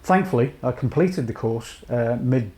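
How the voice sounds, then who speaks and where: A man from North Wales in his late fifties, a charity chief executive giving a measured, reflective personal account with many pauses. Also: medium pitch, animated, mellow. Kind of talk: personal testimony to camera.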